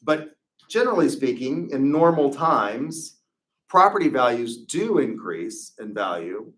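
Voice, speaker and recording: A man's voice: lowish voice, a bit bright. A middle-aged man, a marketing professor, giving a casual, rambling classroom lecture full of asides and restarts.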